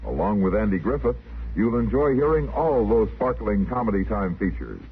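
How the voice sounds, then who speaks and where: A man's voice: lowish voice, expressive, moderate pace. A man with an American accent announcing a scripted 1950s radio promo in a polished, selling manner. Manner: polished, smooth salesmanship. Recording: noisy, tinny 1950s radio recording.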